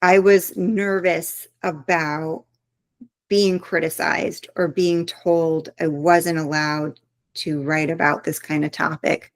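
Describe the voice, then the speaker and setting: A woman's voice: fairly low pitch, dry recording. A woman author being interviewed, speaking in a reflective, earnest way as she thinks aloud. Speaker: author guest. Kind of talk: interview conversation.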